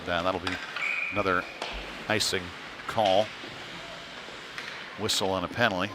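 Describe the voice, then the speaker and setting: A man doing live hockey play-by-play commentary in a halting delivery with mid-sentence pauses. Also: deep voice, flat delivery. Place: indoor ice rink during a game. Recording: noisy rink sound behind the voice. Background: clear venue noise.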